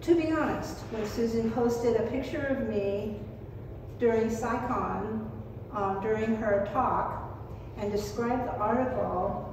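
An older woman giving a prepared, personal conference talk in a measured, reflective, somewhat halting way. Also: on the high side. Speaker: speaker at a skepticism conference. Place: meeting room, at a lectern, indoors. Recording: very echoey hall, distant mic, noisy.

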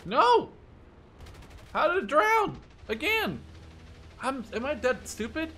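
A young man giving casual, profanity-laden gameplay commentary, excitable and often talking to himself as he plays. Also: very high-pitched, slow. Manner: excitable, swears freely, talks to himself. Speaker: gaming YouTuber. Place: small room at home.

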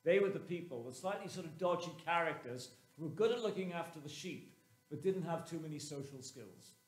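Guy in his 60s giving a sermon, voice a bit on the high side, measured n emphatic w pauses, echoey church. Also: expressive. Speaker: clergyman preaching. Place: inside a church. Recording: roomy, echoing church sound.

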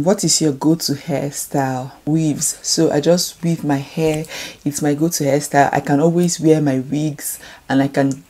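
Low-voiced Nigerian woman vlogging. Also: warm tone. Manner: chatty, rambling, reads questions aloud. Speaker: beauty YouTuber. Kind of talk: casual Q&A to camera. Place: indoor room at home.

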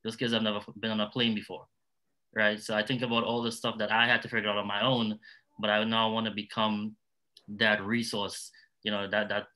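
A man's voice: on the low side, bright, forceful tone, rough recording. A middle-aged man with a Trinidadian accent, speaking as a nonprofit founder on a discussion panel. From Trinidad and Tobago. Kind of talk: panel discussion talk, personal stories.

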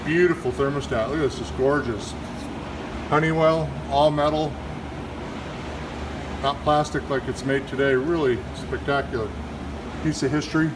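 A middle-aged man with a slightly high voice talks eagerly while he walks through a large indoor machine room. He holds a phone close to film as he goes. A steady hum of machinery runs clearly behind him. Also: moderate pace, pauses often. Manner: enthusiastic, admiring old equipment. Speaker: HVAC service manager. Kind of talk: casual walkthrough narration. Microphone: phone camera held in hand.

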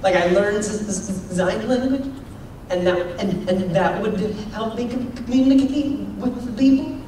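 A man's voice: high voice, a little slow, a little mellow, a little hiss or hum. A young man doing stand-up with a heavy stutter, repeating sounds and laughing at his own jokes, on stage in a large hall. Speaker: stand-up comedian. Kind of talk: stand-up Q&A with audience. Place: large campus event hall, on stage before seated audience. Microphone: handheld mic, far camera. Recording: echoey hall from far off, crowd laughter.

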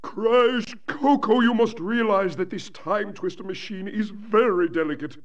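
Slow, pompous mad scientist villain. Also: male voice, high voice, recorded from a distance, lo-fi sound. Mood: scheming. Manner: theatrical, pompous, self-pitying. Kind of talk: video game cartoon villain acting. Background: game music.

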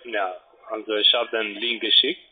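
A man's voice: on the low side, level tone, normal speed. A man who is not a native German speaker, working as a call-center agent on a phone sales call. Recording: noisy, clicking, tinny phone line. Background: call-center floor noise.

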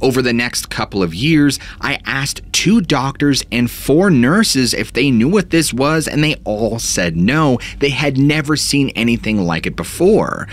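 Man narrating with lively, dramatic pitch. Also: measured pace, hardly any pauses. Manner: dramatic storytelling with sweeping rises and dips. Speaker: host. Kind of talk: scripted narration of paranormal stories. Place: small dark home studio. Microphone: studio mic in front of him.

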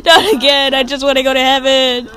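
Woman acting a high-pitched, whiny, pleading cartoon wolf. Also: fairly even intonation, a little fast, a bit bright. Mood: distraught, wailing. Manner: whiny, wailing pleas. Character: hapless, pleading, tearful cartoon wolf man. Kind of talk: cartoon fan dub.

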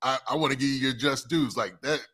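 Bright-voiced man hosting an interview. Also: medium pitch, fairly quick, slightly rough. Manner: eager, affirming, asks leading questions. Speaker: interview host. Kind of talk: casual interview chat.

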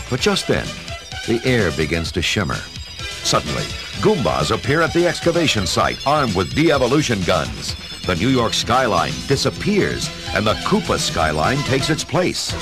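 A man narrating an adventure story in a measured, dramatic scripted style. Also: fairly low pitch, huge pitch swings. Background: dramatic music.

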